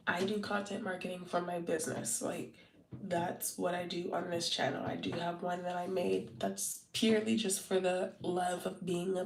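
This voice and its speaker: A woman's voice: lowish voice, vocal fry, noisy background. A congested, wheezy woman in her thirties from Southern California, chatting casually and loosely on a late-night livestream, full of fillers and restarts. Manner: rambling, many fillers and restarts. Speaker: content marketing livestreamer. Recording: roomy, distant from the mic.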